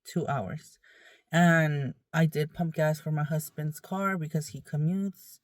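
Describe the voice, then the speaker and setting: A woman's voice: low-pitched, takes it slowly, dry recording, clean studio sound. A woman vlogger talking casually to her viewers in a candid, rambling, conversational way.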